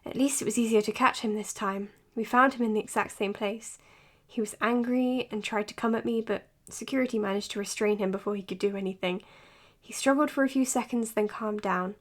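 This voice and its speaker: A woman's voice: medium pitch, steady tone, brisk, lightly acted. A woman acting in an audio drama as an earnest, matter-of-fact psychologist giving her case notes. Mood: tired, matter-of-fact. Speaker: doctor. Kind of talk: audio drama, recorded clinical log.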